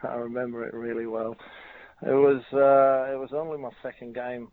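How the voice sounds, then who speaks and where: A middle-aged man from Norway with a Norwegian accent, a former footballer answering interview questions in a halting way with many fillers. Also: mid-range voice, flat delivery, dry recording. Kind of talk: casual interview. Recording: tinny phone line.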